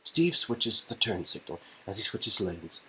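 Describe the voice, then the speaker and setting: A woman's voice: very deep voice, sing-song, unhurried, bright, forceful tone, rough recording. A woman reading interactive game narration informally, in a casual, joking manner. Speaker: narrator and dialogue reader.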